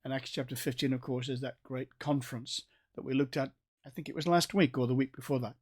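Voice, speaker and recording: A man with a North Staffordshire accent giving a recorded Bible study in a conversational way, with restarts and gentle asides. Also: mid-range voice, steady tone, fairly quick. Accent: North Staffordshire English. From England. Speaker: Bible teacher.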